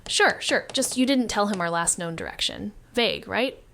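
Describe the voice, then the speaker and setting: A woman's voice: mid-range voice, lively intonation, normal speed, vocal fry, pushed and bright, slight background noise. An actress playing a wary, sardonic young woman detective who narrates a noir audio drama with dry sarcasm. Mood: wary, sarcastic. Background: background music.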